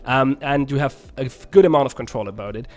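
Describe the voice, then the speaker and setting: A man's voice: medium pitch, quick pace. A young man, a little sick, casually commenting on a game as he plays, rambling and correcting himself often. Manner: rambling, self-correcting, laughs at own plays. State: a little sick, voice slightly rough. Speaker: game reviewer.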